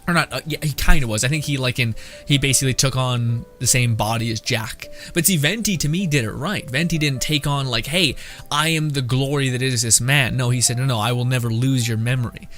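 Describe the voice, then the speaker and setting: A young man giving casual, rambling gameplay commentary as a streamer, thinking out loud. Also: medium pitch, brisk, soft background music. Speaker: game streamer.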